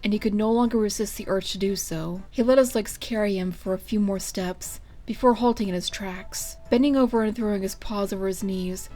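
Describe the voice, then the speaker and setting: A woman reading a story aloud as its narrator, in an expressive scripted style. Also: medium pitch, fairly quick, few pauses, faint music behind. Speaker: fan fiction reader.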